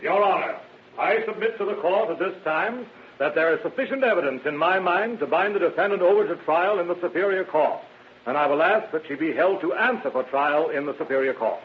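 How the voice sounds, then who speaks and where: An actor playing a formal, confident district attorney in an old radio drama. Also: male voice, fairly high pitch, animated, fairly quick, natural voice. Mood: firm arguing. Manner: formal, confident courtroom questioning and argument. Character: assured, formal male prosecuting district attorney. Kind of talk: 1940s radio acting. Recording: muffled, noisy old radio recording.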